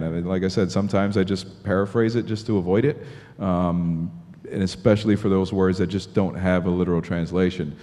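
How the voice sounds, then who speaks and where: A man from Miami, a journalist answering on a conference panel, animated and thinking aloud with restarts. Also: lowish voice. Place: large conference hall. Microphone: table mic on stand. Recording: long hall reverb.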